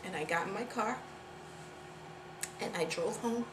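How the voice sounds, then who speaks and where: An actress playing a guilt-ridden woman confessing a long-held secret in a dramatic monologue, halting and repeating herself. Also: fairly high pitch. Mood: subdued, guilty. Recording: echoey room with clear background noise.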